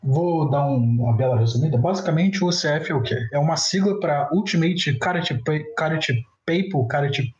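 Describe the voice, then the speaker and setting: A man with a Brazilian Portuguese accent, joking and relaxed as a podcast co-host. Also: fairly high pitch, normal speed, few pauses. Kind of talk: casual podcast chat. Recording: echoey, dull lo-fi mic.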